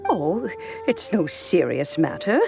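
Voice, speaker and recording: A woman voicing a wise, kindly old lady in an anime game, courteous and gently teasing. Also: low-pitched, wildly swooping pitch, slow, creaky, music in the background, full character voice. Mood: fond.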